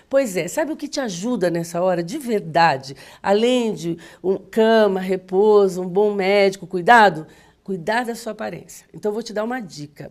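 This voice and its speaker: Nasal, fast-talking Brazilian woman host. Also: medium pitch, darkish tone. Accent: Brazilian Portuguese. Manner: fast, chatty, emphatic. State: congested with a cold, nasal. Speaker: TV talk show host. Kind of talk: live TV interview and read-in ad.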